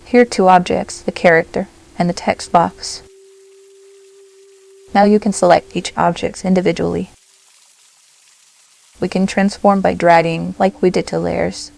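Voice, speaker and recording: Low, creaky woman narrating a tutorial. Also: little pitch movement, unhurried, long pauses, a little mellow. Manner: short, simple instructional phrases. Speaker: software tutorial host. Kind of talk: step-by-step software tutorial narration.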